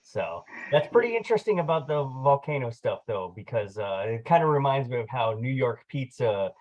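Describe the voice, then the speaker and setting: A man's voice: mid-range voice, sing-song, moderate pace, a little mellow, muffled recording. A man hosting a casual interview, chatty and upbeat, with many filler words. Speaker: interview host.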